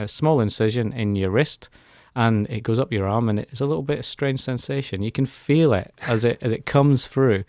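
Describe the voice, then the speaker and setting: A man's voice: fairly low pitch, darkish tone, dry recording, studio quality. A man, telling his personal story as a guest in a radio interview. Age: 40s. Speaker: interview guest giving a testimony. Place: radio studio.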